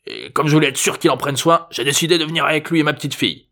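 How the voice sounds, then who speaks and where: A man voicing a cranky, defiant old man with a hoarse, gruff voice, in an audiobook story. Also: on the high side, animated, racing, sharp tone, voice pushed up. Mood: irritated, defiant. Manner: indignant, gruff, swearing.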